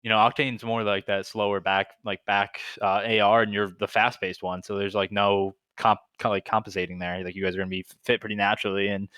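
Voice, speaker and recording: A man's voice: fairly low pitch, level tone, a little fast, few pauses, bright, forceful tone, clear, resonant tone, no echo. A man co-hosting a podcast, chatting casually and analyzing the game with lots of "like" and "you know". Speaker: podcast co-host.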